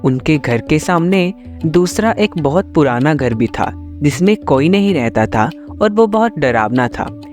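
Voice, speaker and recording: A man's voice: on the high side, expressive, a little slow, darkish tone, soft background music. A man narrating a scripted children's cartoon story as storyteller.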